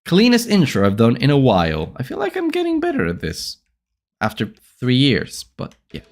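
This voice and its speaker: Male podcast host, mid-pitched, casual, halting self-talk while editing. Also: huge pitch swings, soft background music. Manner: muttering, halting, trails off quietly.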